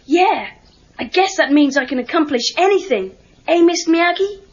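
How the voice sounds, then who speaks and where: A woman's voice: high-pitched, lively intonation, normal speed. A woman playing an eager, impatient teenage boy pupil in a children's audio drama, speaking informally and cheekily. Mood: curious, cheeky. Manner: informal, protesting, exclamatory. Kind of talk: children's read-along audio drama acting. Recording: dull lo-fi cassette tape.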